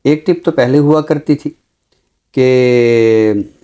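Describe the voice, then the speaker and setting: A Pakistani man speaking formally and deliberately as a literary commentator, with emphatic, repeated phrasing. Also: mid-range voice, dark tone, clear, resonant tone. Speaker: literary commentator on a talk show. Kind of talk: measured, formal literary discussion and poetry recital.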